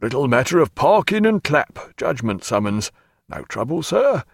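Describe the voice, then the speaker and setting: A man's voice: mid-range voice, big pitch swings, moderate pace, gravelly, no echo, clean studio sound, voice pushed up. A man voicing a polite, officious bailiff who arrests a captain with soothing assurances, in a storybook reading.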